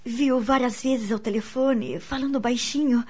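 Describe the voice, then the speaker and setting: A woman's voice: on the high side, fairly even intonation, measured pace, a little mellow, slightly rough. A woman playing a nervous, easily startled wife in a radio soap opera. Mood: hesitant, questioning. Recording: dull lo-fi radio recording.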